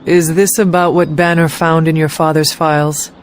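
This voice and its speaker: A woman playing an earnest, resolute young warrior in a video game, speaking in a measured way. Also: low-pitched, flat delivery, a little hiss or hum, close to an everyday voice. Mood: curious, sympathetic. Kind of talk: video game cutscene acting.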